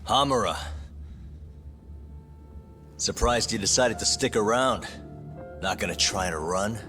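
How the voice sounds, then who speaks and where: A man's voice: on the low side, measured pace. A man playing a tough, determined detective hero in a crime video game dub. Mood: cool, defiant. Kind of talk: cinematic crime game acting. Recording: loud music and action behind voice.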